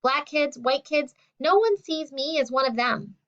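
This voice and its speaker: A woman voicing a poised teenage girl with a British-tinged accent, who talks in a calm, matter-of-fact way. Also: high-pitched, unhurried, pitched above the performer's own voice, full character voice. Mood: quietly hurt. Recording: dull lo-fi sound.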